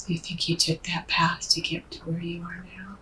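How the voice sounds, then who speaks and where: A woman working as a hypnotherapist, asking gentle guiding questions in a soft, breathy near-whisper with murmured acknowledgements. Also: on the low side, level tone, normal speed. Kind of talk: hushed, slow guided hypnosis questioning. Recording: distant mic, background noise.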